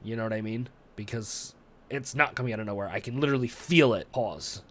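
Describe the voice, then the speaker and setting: A man's voice: mid-range voice, a little fast, fairly bright tone, slight background noise. A man hosting a reaction video, talking conversationally and thinking aloud as he watches. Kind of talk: casual reaction commentary. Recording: music playing behind the voice.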